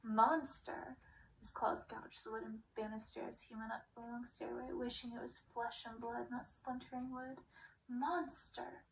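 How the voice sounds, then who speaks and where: Creaky-voiced woman reading a storybook. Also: fairly high pitch, monotone, moderate pace, hardly any pauses, a little hiss or hum, low-quality recording. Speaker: storybook reader, ASMR creator. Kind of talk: ASMR audiobook reading, scripted narration.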